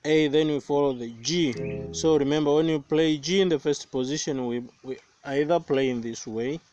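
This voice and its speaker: A young Tanzanian man whose first language is Swahili, giving a slow, careful guitar lesson outdoors with many pauses and fillers. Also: on the high side, darkish tone, lo-fi sound. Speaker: guitar teacher. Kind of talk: guitar tutorial. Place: outdoors by banana plants. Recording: faint guitar playing behind voice.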